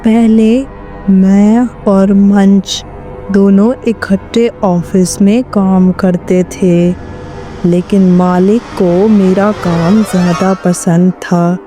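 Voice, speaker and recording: A vengeful witch spirit, as a woman puts her on, dragging out each word with slow menace. The voice is dark and mellow, a little louder than the telling around it. Fits a storybook cartoon. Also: mid-range voice.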